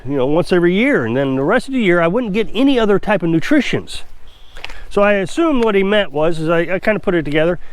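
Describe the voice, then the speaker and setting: A man's voice: high-pitched, sing-song, fast talker, smooth. A man with a stuffy, congested voice, talking casually and opinionatedly to the camera in a rambling vlog. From Missouri. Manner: chatty, rambling, self-assured. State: congested from a cold. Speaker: off-grid homestead vlogger.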